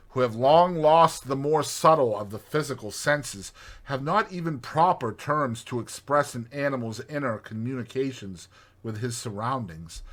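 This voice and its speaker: A man narrating a classic storybook in an expressive, theatrical way. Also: mid-range voice. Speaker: audiobook narrator.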